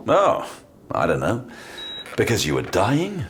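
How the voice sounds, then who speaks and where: Gruff wry detective in audio drama, really animated pitch, slow delivery. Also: male voice, a little hiss or hum, exaggerated voice. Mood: wry, relieved. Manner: dry, gruff banter. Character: tough, wry male London demon-hunting detective. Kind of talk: full-cast audio drama acting. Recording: music behind the voice.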